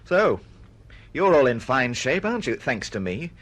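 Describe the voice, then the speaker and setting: A man's voice: medium pitch, big pitch swings, normal speed, a bit bright. An aggrieved, swooping-voiced male novelist. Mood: indignant. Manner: earnest, pleading, swinging between protest and hesitation. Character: aggrieved, insistent male novelist, desperate and self-pitying. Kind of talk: radio drama acting.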